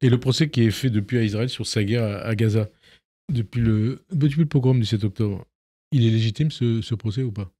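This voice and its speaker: A man hosts a radio interview in a slightly husky voice, at a mid pitch. He keeps prodding his guest with short follow-up questions and tags. The studio sound is dry and close. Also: a little fast, warm tone, no room sound.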